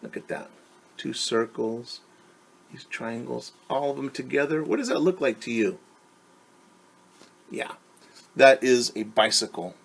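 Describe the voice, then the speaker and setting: A man reading a picture book aloud to young children as their teacher, patiently asking them questions and pausing for answers. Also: mid-range voice, gravelly, breathy edge. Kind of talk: children's storytime read-aloud.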